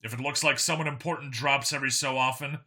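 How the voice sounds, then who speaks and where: A man voicing a steady, determined human cop on comms in an audiobook. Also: medium pitch, fast. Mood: matter-of-fact. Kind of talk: audiobook character voice.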